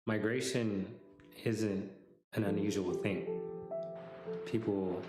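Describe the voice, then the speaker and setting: A man delivering scripted narration for a video in a measured, prepared way. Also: lowish voice, fairly even intonation. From US-Mexico border region. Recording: roomy voice under loud guitar music. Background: loud plucked guitar music.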